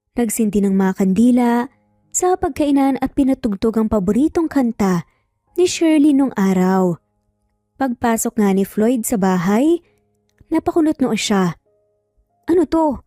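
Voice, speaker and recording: A Filipino woman reads a dramatic story as its narrator. Her voice is fairly high and soft-edged, recorded close and dry over faint background music. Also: no room sound.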